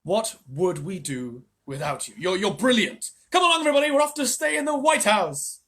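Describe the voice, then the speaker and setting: A man voicing an excitable, eccentric chocolate maker in a storybook reading, with brisk, sing-song exclamations. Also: on the high side, breathy edge. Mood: delighted.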